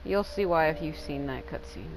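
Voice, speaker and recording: Female gamer, relaxed off-the-cuff commentary in a lowish voice, game music behind her. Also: moderate pace, rough recording. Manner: informal, swears freely. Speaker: gaming video creator. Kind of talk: casual gameplay commentary.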